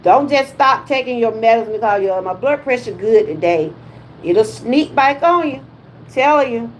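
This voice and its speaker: A woman's voice: a little high, animated, normal speed, slight background noise, poor audio. A woman in her fifties with a Southern US accent, chatting casually on her wellness livestream with folksy exclamations. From Georgia, USA. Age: 50s. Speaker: wellness vlogger.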